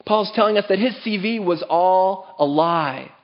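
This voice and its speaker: A man's voice: high voice, measured pace. Earnest preacher man, slightly muffled recording. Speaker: pastor. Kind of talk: sermon.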